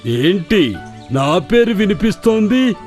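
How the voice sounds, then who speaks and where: Animated, slow-talking man acting a cartoon animal character. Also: high voice, heavily acted. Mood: thoughtful, cautious. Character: wise monkey leader and menacing pond demon. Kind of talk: children's cartoon story voice acting. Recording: music behind the voice.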